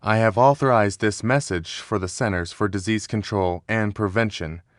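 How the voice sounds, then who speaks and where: A man's voice: fairly low pitch, moderate pace, very noisy, lightly acted. A man playing a grave public health official delivering a formal, somber scripted emergency address. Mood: grave, resigned.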